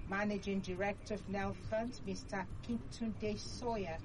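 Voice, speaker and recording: A woman with a Nigerian accent reads a scripted radio news bulletin in a formal, measured manner. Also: medium pitch, steady tone. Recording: clearly noisy radio broadcast.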